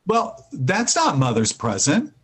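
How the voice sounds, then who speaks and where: A man playing a good-natured, oblivious husband in an old-time radio comedy, boyish and animated. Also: a little high, slow pace, husky, exaggerated voice. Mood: breezy. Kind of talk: 1940s radio comedy acting.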